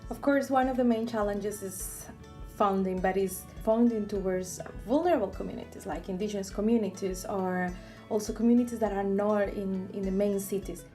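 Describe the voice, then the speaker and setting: A woman's voice: medium pitch, moderate pace, dark tone, soft background music. A woman from Latin America, speaking firmly as a grassroots organizer in a testimonial. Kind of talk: scripted testimonial.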